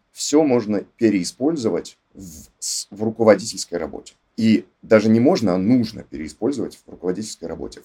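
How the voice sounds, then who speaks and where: A man with a low, mellow voice speaks slowly and reflectively. His tone is a little husky, and he often pauses and restarts his sentences as he thinks aloud. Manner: thoughtful, hesitant with many fillers. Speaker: podcast guest, team lead mentor. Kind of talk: reflective casual conversation.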